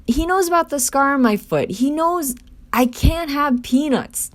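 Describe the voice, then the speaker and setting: Woman reading a defiant, earnest teenage boy, raised pitch. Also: animated, measured pace. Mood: pleading, insistent. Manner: earnest, protesting. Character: Gil, defiant, loyal, pleading sixteen-year-old orphan boy. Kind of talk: scripted scene table read.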